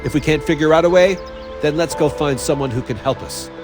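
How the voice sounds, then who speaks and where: A man playing a gruff, brooding young senior who secretly cares, blunt and intense, in a fantasy game. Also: a little high, a little fast, over music, lightly acted. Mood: determined. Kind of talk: dubbed fantasy game cutscene acting.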